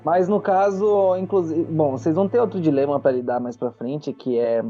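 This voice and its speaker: A man's voice: fairly high pitch, normal speed, dark tone. A Brazilian man narrating a tabletop roleplay audio drama as game master. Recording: muffled lo-fi audio under music. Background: background music.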